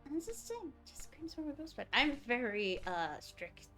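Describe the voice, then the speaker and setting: A woman's voice: sits high, very animated, measured pace, pushed and bright, faint music behind. A woman livestreaming a game, chatting casually and thinking aloud as she reacts to what is on screen. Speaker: game streamer.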